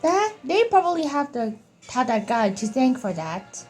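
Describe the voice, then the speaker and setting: Halting woman gamer over loud music. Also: high-pitched, very animated. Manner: halting, repeats and rephrases herself. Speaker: gaming video host. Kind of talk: casual gameplay commentary. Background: video game music.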